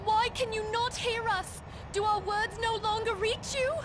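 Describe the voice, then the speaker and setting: A devout, commanding young mage from a fantasy game, with a very high voice. She speaks formally and with great intensity over noisy game ambience. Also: fairly even intonation, unhurried. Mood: desperate. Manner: intense, pleading, formal diction. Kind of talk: dramatic fantasy video game acting.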